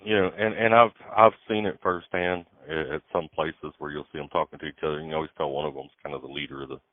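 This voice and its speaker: A low-voiced man telling stories in a laid-back, plain-spoken way on a phone call. The sound is dry and narrow, like a phone line. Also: steady tone, fast, dry recording. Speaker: truck driver. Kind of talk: casual phone chat.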